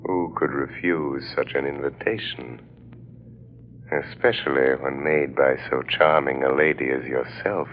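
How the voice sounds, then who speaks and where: Very deep, menacing phantom avenger. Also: male voice, exaggerated voice. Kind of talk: 1930s radio drama acting. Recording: tinny, noisy 1930s radio recording.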